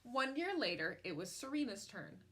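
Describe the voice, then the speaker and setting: Bright-voiced woman reading a story aloud. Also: on the high side, big pitch swings, moderate pace, husky. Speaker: picture book reader. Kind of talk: expressive read-aloud storytelling.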